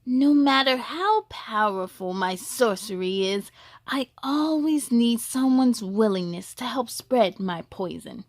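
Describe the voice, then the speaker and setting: A woman voicing an evil, scheming male storybook wizard in a slow, gloating, deliberate manner. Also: a little high, expressive, dry recording, studio quality, exaggerated voice. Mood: menacing, scheming.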